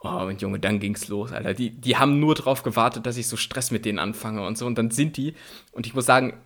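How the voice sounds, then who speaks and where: A man's voice: mid-range voice, fast, fairly bright tone. A young man co-hosting a podcast, telling rambling anecdotes in casual, slangy language. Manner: slangy, colloquial storytelling. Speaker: podcast co-host.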